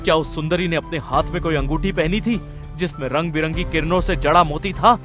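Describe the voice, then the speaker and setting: A man's voice: a little high, brisk, over music, lightly acted. A man playing an earnest, determined, lovestruck king in a cartoon fairy tale. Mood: curious, puzzled. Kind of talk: Hindi cartoon story voice acting.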